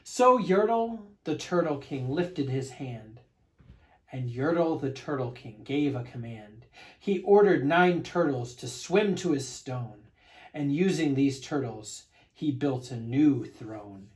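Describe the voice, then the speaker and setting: Male read-aloud storyteller for children: unhurried, expressive, emphatic narration at a medium pitch.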